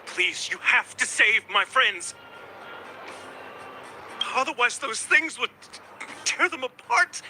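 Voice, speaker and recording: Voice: medium pitch, sing-song, slow pace, long pauses, pushed and bright, faint music behind, exaggerated voice. A performer playing a frightened young townsperson in a video game, stammering in panic. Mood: desperate pleading. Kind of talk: dramatic video game voice acting.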